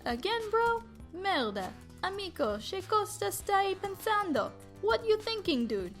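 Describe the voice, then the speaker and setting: A woman's voice: very high-pitched, sing-song, unhurried, faint music behind, voice pushed up, exaggerated voice. A woman voicing a brash, exasperated young Italian man with an Italian accent in a story reading.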